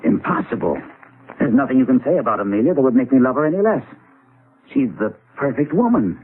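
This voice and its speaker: An actor playing an earnest, devoted suitor with a husky voice in a 1970s radio drama. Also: male voice, medium pitch, expressive, brisk. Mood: baffled, adamant. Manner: earnest, sincere. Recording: muffled, phone-like old radio broadcast.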